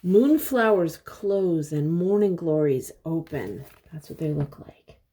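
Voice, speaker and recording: An older woman reading a picture book aloud to young children, pausing to explain things and ask simple questions. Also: deep voice, slightly rough. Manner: expressive, simple explanations and questions for little listeners.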